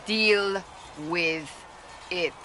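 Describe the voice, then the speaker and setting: A stern woman warrior in a fantasy video game. Her voice is bright and forceful, with clipped, formal phrasing. Faint noise sits behind her. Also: on the low side, drawn-out words, heavily acted. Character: stern, blunt woman warrior, a leader of alliance. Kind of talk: dramatic video game dialogue.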